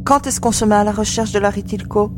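A woman's voice: mid-range voice, steady tone, quick pace, music in the background, close to an everyday voice. A woman playing a determined young female mercenary in a science fiction audio drama.

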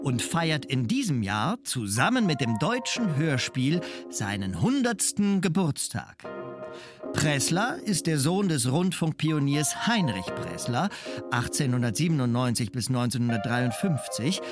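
Male know-it-all expert, animated pitch, factual emphatic narration, music behind. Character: brisk know-it-all expert reciting biographical facts. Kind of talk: scripted documentary narration within radio drama.

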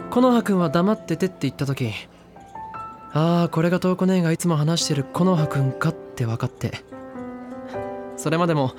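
A man's voice: on the high side, lightly acted. A man playing a confident, pushy younger teenage boy with casual slangy speech in a Japanese anime audio drama. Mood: breezy, curious.

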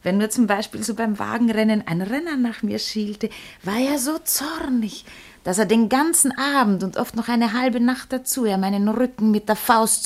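A woman's voice: fairly high pitch, a little mellow. An actress playing a vain, lovesick old woman in a theatrical, lamenting manner in a classical comedy radio play. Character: vain, lovesick old woman, jilted by her young lover.

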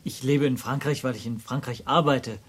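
Guy acting a guarded guy being questioned in a radio play, raspy-ish husky, medium pitch, polite but dodging. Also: steady tone, normal speed, close to an everyday voice. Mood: guarded, evasive. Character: guarded man under questioning, telling his story.

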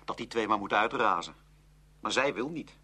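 An embarrassed, awkward teenage boy in a radio drama. The voice is bright and husky, swinging widely in pitch as he hesitates and repeats himself. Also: lively intonation, a little fast, exaggerated voice. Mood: embarrassed, upset. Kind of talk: Dutch radio play acting.

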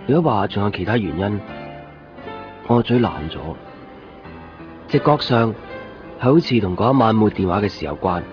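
A man's voice: on the low side, animated, normal speed, pauses often, faint music behind, lightly acted. A man acting an earnest, uncertain young lovesick man in a 1990s Cantonese radio drama, speaking hesitantly with a Hong Kong accent. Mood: pensive, uneasy. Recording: dull lo-fi radio recording.

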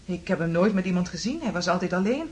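A woman's voice: fairly low pitch, expressive, fast, a little mellow, natural voice. An actress playing a plain-spoken, matter-of-fact landlady in a Dutch radio play. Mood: firm, puzzled.

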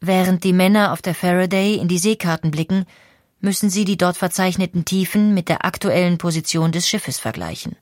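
A woman reading scripted documentary narration in an explanatory, measured way. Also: fairly low pitch, no room sound, clean studio sound.

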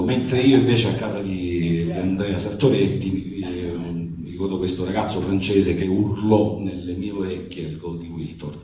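A low-voiced man with a mellow, dark tone speaks informally to an audience. He rambles and hesitates in a jokey manner. The sound is distant, in a heavily reverberant room. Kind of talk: informal spoken introduction to an audience. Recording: very echoey room, distant mic.

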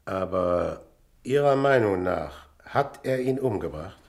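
An actor playing a composed police investigator who questions tersely and considerately in in a radio crime drama. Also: male voice, low voice, expressive, slow, vocal fry, darkish tone, full character voice. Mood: questioning, serious.

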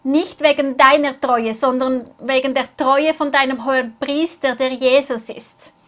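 A woman's voice: high voice, steady tone, measured pace, fairly bright tone. A woman interpreting a sermon phrase by phrase, speaking earnestly and closely following the preacher.

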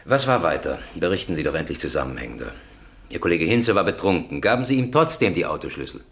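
An actor playing a stern, impatient presiding judge in a radio crime drama, questioning formally and insistently. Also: male voice, deep voice, very animated, quick pace, a bit bright, slight background noise. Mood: sceptical, impatient.

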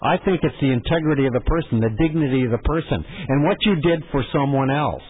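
A man's voice: mid-range voice, animated, quick pace, husky. A man hosting a call-in radio show, answering a caller firmly and repeating phrases for emphasis. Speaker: radio talk show host. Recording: muffled phone-band audio with long reverb.

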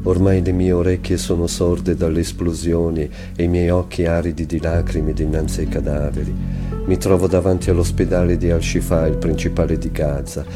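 A man's voice: low voice, little pitch movement, normal speed. A man giving a literary reading of a first-person diary. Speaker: reader. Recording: clear music behind the voice. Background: music.

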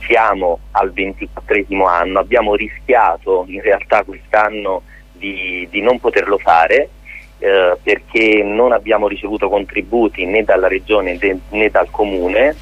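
A man with a low-pitched, husky voice speaks as an interview guest on the radio. He explains things informally, like an event organiser laying out a programme. The sound is dry, with hardly any room in it. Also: steady tone, unhurried, no room sound, highs cut off.

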